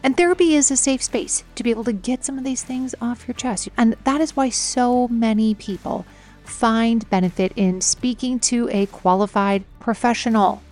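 A woman's voice: on the high side, moderate pace, darkish tone. A woman podcast host reading a scripted sponsor message in a conversational style. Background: music.

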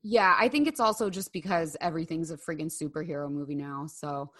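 An American woman co-hosting a podcast in casual, slangy chat, excitable and quick to mock herself. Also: low-pitched. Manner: excitable, self-mocking, slangy. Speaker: podcast co-host. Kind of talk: casual pop-culture chat.

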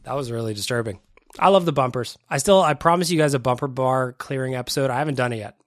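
A man's voice: mid-range voice, quick pace, no room sound. A man hosting a podcast in his home studio, chatting casually and a little self-deprecating, with a stuffy, congested voice from a cold. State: congested, sniffly. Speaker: podcast host. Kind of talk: casual solo podcast chat. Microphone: studio microphone.

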